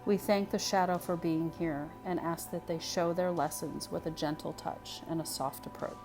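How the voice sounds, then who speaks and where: A woman leading a guided meditation in a soothing, unhurried way, speaking phrase by phrase with pauses. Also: fairly low pitch, fairly even intonation, rough recording. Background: music.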